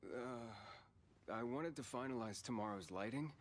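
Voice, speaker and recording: An actor playing a big, gentle young wolf man in an anime dub, speaking softly and hesitantly. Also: mid-range voice, moderate pace, a little hiss or hum, natural voice. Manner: soft-spoken, hesitant.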